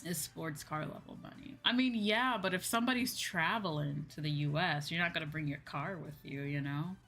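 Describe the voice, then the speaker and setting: Lower-voiced woman streamer chatting over music. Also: normal speed, fairly bright tone. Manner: rambling, thinking aloud, loosely repeating points. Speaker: livestream host and virtual streamer. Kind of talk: casual livestream chat with viewers. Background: background music.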